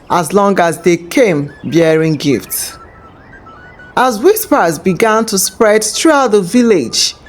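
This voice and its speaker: Woman, folktale narrator, very varied intonation, unhurried pace, dramatic. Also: mid-range voice. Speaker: storyteller and channel host.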